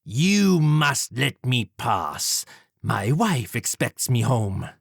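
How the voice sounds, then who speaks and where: A man voicing an arrogant, sneering gentleman surgeon who is a murderer, in an audiobook story, with a haughty, drawn-out delivery. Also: medium pitch, sing-song, gravelly, breathy edge, dry recording, clean studio sound, heavily acted. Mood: impatient, haughty.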